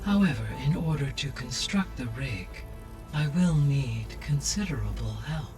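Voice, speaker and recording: A man playing a calm, precise artificial intelligence in a video game, speaking in a measured, formal, analytical way. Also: medium pitch, recorded from a distance, lightly acted. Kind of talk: scripted video game cutscene acting. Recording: music behind the voice.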